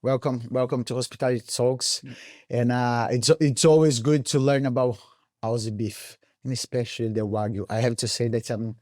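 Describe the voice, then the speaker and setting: A man's voice: medium pitch, steady tone, normal speed, darkish tone, no room sound, clean studio sound. A man with a Brazilian accent, hosting a podcast in a casual, chatty interview style. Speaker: podcast host.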